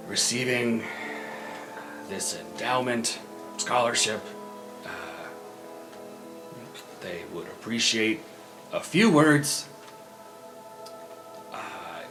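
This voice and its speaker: A man speaking in character at a tabletop game, hesitant and full of fillers. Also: lowish voice. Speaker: player. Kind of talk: casual in-character roleplay.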